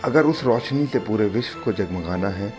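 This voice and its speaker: A man narrating a documentary in a solemn, measured way, opening with recited verse. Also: fairly low pitch, lively intonation. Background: background music.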